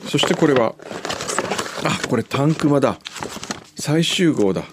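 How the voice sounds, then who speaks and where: A man's voice: medium pitch, lively intonation, a little slow, noisy background. A man hosting a casual radio chat, playfully drawing out his reactions as he opens listener gifts and reads messages. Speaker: radio show host.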